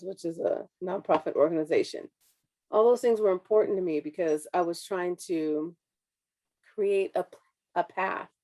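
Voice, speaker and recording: A woman's voice: lowish voice, dark tone. A woman in her thirties, a city councilwoman, giving an earnest, reflective personal speech over a video call. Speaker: city councilwoman, panel speaker. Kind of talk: personal speech at an online forum.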